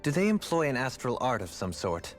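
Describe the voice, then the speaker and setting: A man's voice: medium pitch, very animated, fairly quick, lightly acted. An actor voicing a stern, skeptical young male warrior in a game. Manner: curt, skeptical. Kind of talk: video game voice acting. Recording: game audio with faint music.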